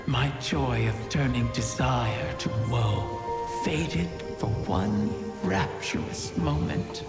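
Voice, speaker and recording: An actor voicing an ancient, cunning, mournful dragon spirit in a video game, speaking in solemn, theatrical, cryptic lines. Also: male voice, mid-range voice, lively intonation, slow, creaky. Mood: wistful, brooding. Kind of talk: scripted video game monologue. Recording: long cavernous reverb, loud music behind.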